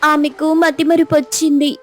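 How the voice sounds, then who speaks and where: A woman's voice: sits high, flat delivery, normal speed, natural voice. A woman voicing a naive, silly young daughter-in-law with an earnest manner in a dramatized cartoon story.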